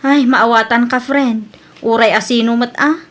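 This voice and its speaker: A woman's voice: fairly high pitch, steady tone, unhurried, lightly acted. A woman with an Ilocano Filipino accent playing a chatty young daughter and friend in a radio comedy drama. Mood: confiding, sympathetic.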